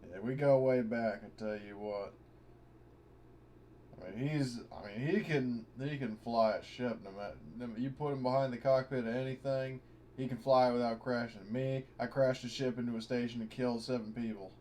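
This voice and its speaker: A man's voice: medium pitch, fairly even intonation, moderate pace, a little mellow, lightly acted. A man playing an irritable, blustering, folksy ship captain in an improvised tabletop game. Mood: defensive bluster. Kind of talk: improvised tabletop role-play acting. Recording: clearly noisy online voice call.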